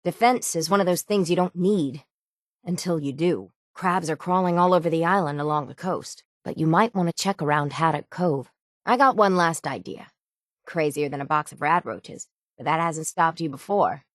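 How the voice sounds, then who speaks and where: A woman playing a gruff, world-weary, sardonic island sailor in a video game, with salty, plainspoken folksy phrasing. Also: low-pitched, moderate pace, no room sound, studio quality, close to an everyday voice. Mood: gruff, wry. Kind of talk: video game character dialogue.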